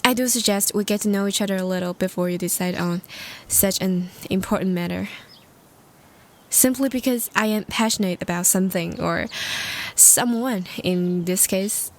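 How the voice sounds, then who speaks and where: A woman's voice: mid-range voice, moderate pace, a little hiss or hum, natural voice. A woman voicing a polite, lovestruck ancient vampire who speaks in formal, old-fashioned, hesitant and earnest phrases in an intimate roleplay audio drama. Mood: restrained, tender.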